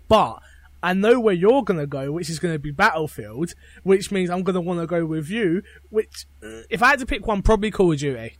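Male podcast panelist, slightly high register, dry sound, blunt conversational debater. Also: no room sound. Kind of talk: casual chat.

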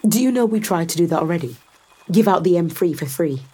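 A calculating woman in power for a science fiction radio play. Her voice sits fairly low with a husky edge. She speaks with controlled, sardonic authority, laying out her case persuasively. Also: animated, quick pace, dark tone. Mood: confiding, explaining. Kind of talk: full-cast science fiction audio drama acting.

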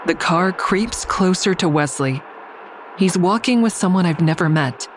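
An actor playing an anxious narrator who recounts a tense ordeal in measured first person, in an audio drama. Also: medium pitch, expressive, slight background noise, close to an everyday voice.

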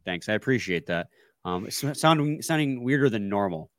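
A man's voice: lowish voice, lively intonation, moderate pace, dry recording, studio quality. A man with a stuffy, congested voice, hosting a casual sports podcast with laid-back, joking banter. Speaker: podcast co-host.

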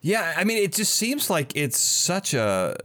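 Man, very animated intonation. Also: high-pitched, measured pace. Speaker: podcast host, interviewer. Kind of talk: casual interview chat.

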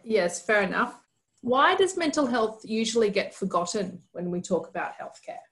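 A woman hosting a podcast, reading a formal introduction and asking interview questions in a clear, measured way. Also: medium pitch, animated, fairly bright tone. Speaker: podcast host.

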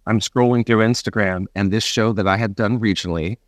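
Agreeable, lower-voiced man hosting an interview. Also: fairly even intonation, brisk, no room sound, studio quality. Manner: agreeable, sympathetic. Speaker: interview host and actor. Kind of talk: conversational interview.

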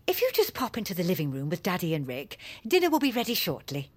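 A woman's voice: fairly low pitch, huge pitch swings, quick pace. An actress playing a fussy, chirpy middle-aged British mother welcoming guests in an audio drama, with a sing-song, polite delivery. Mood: brisk, hospitable. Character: chirpy fussy middle-class mother hosting her grown daughter.

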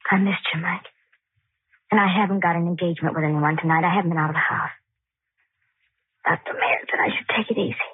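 A woman's voice: fairly low pitch, fairly even intonation, a little fast, long pauses, breathy edge, no room sound. An actress playing a wounded, defiant young woman with a guarded, pointed manner in a 1940s radio drama. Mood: tender, evasive. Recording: tinny, phone-like old 1940s radio recording.

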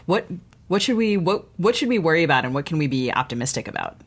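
A woman co-hosting a literary podcast, asking lively, upbeat questions. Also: deep voice, fast talker, breathy edge. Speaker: podcast co-host. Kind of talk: casual interview questions.

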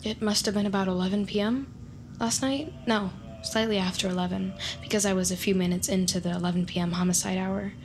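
A woman's voice: mid-range voice, steady tone, a little fast, over music, close to an everyday voice. A woman playing a nervous, chatty, awkward neighbor in an audio drama, hesitant and rambling in an earnest way. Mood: nervously chatty.

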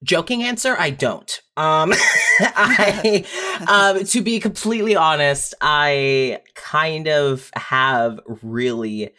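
Candid, casual medium-pitched podcast guest. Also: takes it slowly, sharp tone. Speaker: podcast guest, audio fiction writer.

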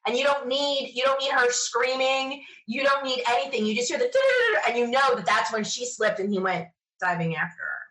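A woman chatting casually as an interview guest, retelling things in an enthusiastic, animated manner. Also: fairly high pitch, moderate pace, few pauses, rough recording. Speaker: podcast interview guest, sci-fi podcaster. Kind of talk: casual conversational storytelling.

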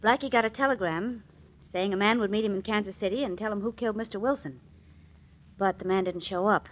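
An actress playing a pert, quick-witted, teasing female sidekick to a detective in a 1940s radio drama. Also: medium pitch, natural voice. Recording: dull old 1940s radio recording.

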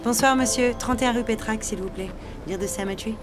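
An actress playing a polite young office secretary in an audio drama, who also narrates in the first person. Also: female voice, fairly high pitch, quick pace, close to an everyday voice. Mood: courteous. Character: polite, fond French office secretary, engaged young woman. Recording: music behind the voice.

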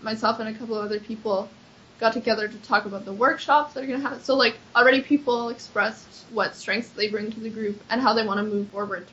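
A woman's voice: fairly high pitch, fairly even intonation, quick pace, a bit bright, a little hiss or hum. Chatty young woman, lo-fi. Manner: earnest, chatty, frequent "like" fillers. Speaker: accessibility activist. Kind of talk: casual interview answers. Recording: slightly dull lo-fi recording.

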